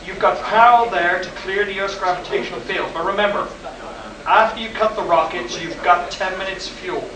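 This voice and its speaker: An earnest, insistent rocket project boss from an old radio drama, acted by a man. His voice is high and he gives instructions with fussy urgency. It is heard from a distance in an echoey hall with audience noise. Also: moderate pace, crowd noise, close to an everyday voice. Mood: earnest, warning. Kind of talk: vintage science-fiction radio drama acting. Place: convention hall, live stage performance.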